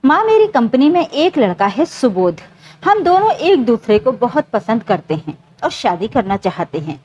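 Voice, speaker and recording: A woman narrator voicing a shy young working daughter in a story, soft and hesitant. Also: fairly high pitch, sing-song, normal speed, husky. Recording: dull, lo-fi sound.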